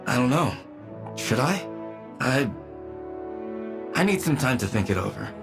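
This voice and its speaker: An actor playing a brooding, sarcastic man in a video game, with a husky voice and a wry, self-questioning manner. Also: medium pitch, very animated, a little slow, music in the background. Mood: hesitant. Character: brooding, sarcastic man grudgingly missing his lost mentor. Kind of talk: dramatic video game cutscene acting.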